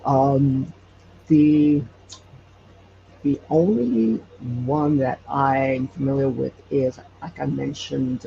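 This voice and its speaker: A man chatting casually as an interview guest, with hesitant, halting phrasing. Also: on the high side, creaky, mellow. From Hawaii. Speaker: podcast guest, audio drama creator. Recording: dull lo-fi sound, faint noise.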